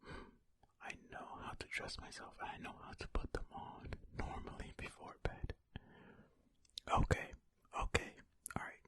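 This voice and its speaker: Bright, breathy whispering ASMR storyteller. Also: very high-pitched, monotone, a little slow, long pauses, dry recording. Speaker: host of an ASMR storytelling channel. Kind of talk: ear-to-ear whispered ASMR, reading a story aloud with casual commentary. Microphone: close stereo ASMR microphone.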